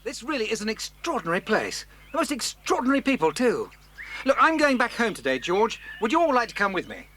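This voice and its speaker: Grumpy blustery uncle in a kids audio play, high lively voice, bit of hiss. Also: male voice, fairly quick, full character voice. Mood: brusque. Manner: brusque, blustering. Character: irascible, indignant father and uncle.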